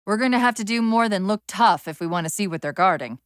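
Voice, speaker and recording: A woman playing a brisk, wry, urgent military AI companion in a video game, giving crisp commands and tactical briefings. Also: medium pitch, animated, no echo, studio quality, close to an everyday voice. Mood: brisk, focused.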